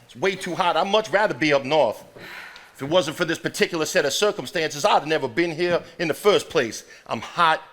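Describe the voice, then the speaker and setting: A stage actor playing an irritable, blunt eldest brother in a 1950s Southern family, drawling and complaining with a Southern US accent. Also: male voice, a little high, brisk, a bit bright, close to an everyday voice. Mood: weary grumbling. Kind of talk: live stage play acting. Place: theater stage before a live audience.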